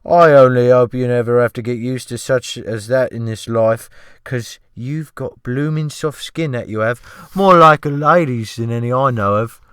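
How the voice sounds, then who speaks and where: A man's voice: mid-range voice, moderate pace, fairly bright tone, clear, resonant tone, no echo, clean studio sound, close to an everyday voice. A man voicing an oily, servile, cheerful ship's cook with a smirking, ingratiating manner for an audiobook. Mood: smirking, fawning. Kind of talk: dramatic audiobook character voice.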